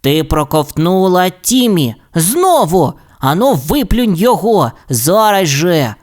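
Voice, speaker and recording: Woman as a cautious, low-voiced young boy tyrannosaurus. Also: lively intonation, measured pace, darkish tone. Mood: stern. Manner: reluctant, earnest, protesting.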